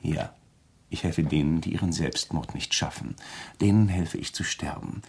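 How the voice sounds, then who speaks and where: An actor playing a deranged, coolly menacing killer in a German radio crime drama, lecturing insistently and deliberately about his mission with a husky voice. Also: a bass voice, darkish tone, full character voice. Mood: fanatical, insistent.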